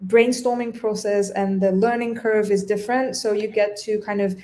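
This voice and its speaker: Young woman from Bulgaria, native Bulgarian speaker, casual video-call interview guest, middle pitch. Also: steady tone, normal speed, darkish tone. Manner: thoughtful, with frequent restarts. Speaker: guest designer.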